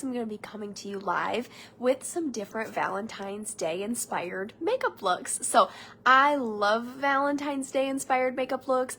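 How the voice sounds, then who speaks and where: Chatty young woman, nasal from a cold, livestreaming at home, slightly high voice, light hiss behind. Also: a bit bright. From Michigan, United States. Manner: chatty, rambling, friendly asides to viewers. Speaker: beauty consultant doing a live makeup tutorial. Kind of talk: casual live-stream chat. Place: home room.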